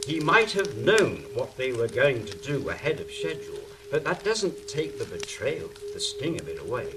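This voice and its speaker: An actor playing a wry, theatrical angel who takes charge in a stage drama. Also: male voice, mid-range voice, sing-song, moderate pace, natural voice. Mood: commanding. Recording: faintly noisy stage recording.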